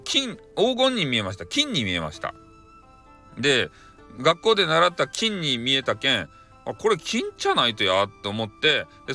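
Hakata dialect guy telling a bedtime story, bright animated voice, soft music underneath. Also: a little high. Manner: rambling, with frequent fillers and playful asides. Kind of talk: bedtime folktale narration.

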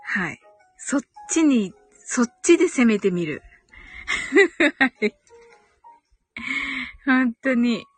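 High-pitched giggly woman chatting casually. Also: sing-song, extremely slow, long pauses, breathy edge, no echo, soft background music. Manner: giggly, murmurs agreement to herself in repeated short phrases. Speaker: host of a live audio stream reading listener comments. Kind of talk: casual chat.

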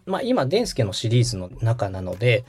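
A man's voice: mid-range voice, wildly swooping pitch, fast talker, darkish tone, husky. A man hosting a podcast, interviewing and coaching a guest in a polite, instructive conversational manner. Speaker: podcast host, radio director coaching guest.

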